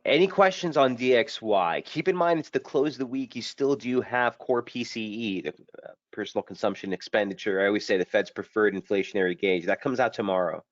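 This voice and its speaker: Host, male, lowish voice, a touch hoarse, brisk casual chart walkthrough, dull dry stream audio. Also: no room sound. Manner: brisk, casual trader talk with frequent fillers. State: slightly hoarse, under the weather. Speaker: market strategist hosting a trading webinar. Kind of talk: live market analysis webinar.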